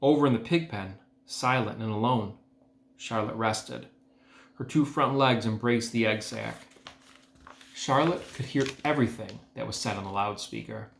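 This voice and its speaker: A man's voice: on the low side, normal speed, creaky, slight background noise. A man, an educator, talking casually to students and narrating a children's storybook aloud. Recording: echoey room.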